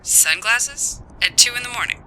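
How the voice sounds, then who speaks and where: A woman's voice: on the high side, lively intonation, normal speed, sharp tone, poor audio. A woman playing a businesslike police emergency operator in an audio drama, clipped and official. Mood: questioning.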